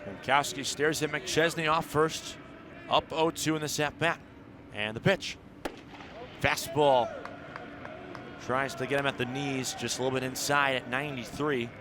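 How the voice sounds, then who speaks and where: A man's voice: medium pitch, a little slow, long pauses. A man calling live baseball play-by-play on the radio, mixing clipped descriptive calls with longer analysis. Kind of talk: live radio sports commentary. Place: outdoor ballpark broadcast. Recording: steady outdoor ballpark background noise.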